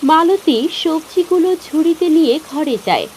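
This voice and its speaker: A woman narrates a cartoon story in scripted style. Her voice is high with very varied intonation, over faint rain-like noise. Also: animated, moderate pace, darkish tone.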